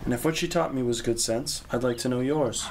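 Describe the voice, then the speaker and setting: A man's voice: medium pitch, brisk, a little hiss or hum, natural voice. An actor playing an eager, naive young male knight in an audio drama, polite and earnest in his questions. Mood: earnest, courteous. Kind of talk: audio drama acting of a medieval legend.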